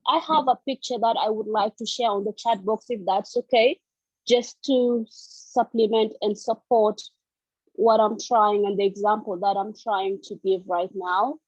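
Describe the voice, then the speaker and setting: A woman from South Sudan presenting on a video call as a civil society panelist, speaking haltingly with many fillers. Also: medium pitch, steady tone, a little mellow, breathy edge, no room sound. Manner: halting, with frequent fillers and restarts. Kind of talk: online meeting presentation. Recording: dull lo-fi call audio.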